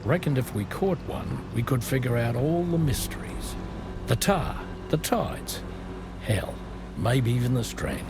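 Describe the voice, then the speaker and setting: A man's voice: mid-range voice, big pitch swings, measured pace, heavy background noise. An actor playing a wistful father, a seafaring former doctor and researcher, telling his story reflectively in a video game cutscene. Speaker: ship captain and former scientist.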